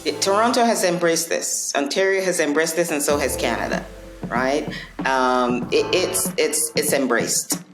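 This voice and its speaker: A woman's voice: low-pitched, unhurried, roomy sound, music in the background, poor audio. A woman from Barbados answering interview questions earnestly, often restarting and checking in with "right?". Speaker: non-alcoholic bar owner.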